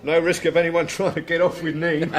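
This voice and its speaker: A jovial, flirtatious drinker in a radio drama. His fairly high voice is colloquial, jokey and a little crude. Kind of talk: British radio drama acting.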